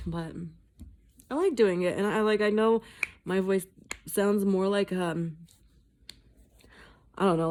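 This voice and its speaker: A woman's voice: fairly low pitch, mellow. A woman vlogging at home in a casual, rambling chat, swearing freely and mocking herself. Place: indoors at home.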